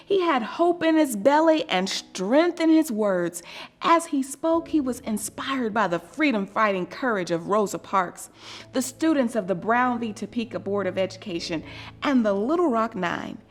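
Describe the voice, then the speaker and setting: A woman reading a children's story aloud in an expressive, scripted style, with deliberate dramatic emphasis and pauses. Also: fairly high pitch.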